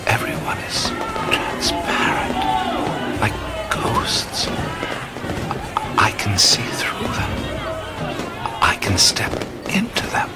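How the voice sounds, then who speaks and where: An actor playing a bewildered, wisecracking adventurer in a radio play, with halting, wry exclamations. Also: male voice, lowish voice, huge pitch swings, over a noisy crowd, full character voice. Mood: awed, breathless. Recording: studio radio play with loud music. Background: loud music and effects.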